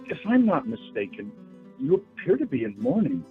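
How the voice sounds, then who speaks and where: Guy doing nervous young suitor in a radio play, halting n polite, voice jumps around, phone-like audio. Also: medium pitch, wildly swooping pitch. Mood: nervous, courting. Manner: flustered, halting, courteous. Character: eager, awkward young male suitor, earnest and polite. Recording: tinny phone line with music behind.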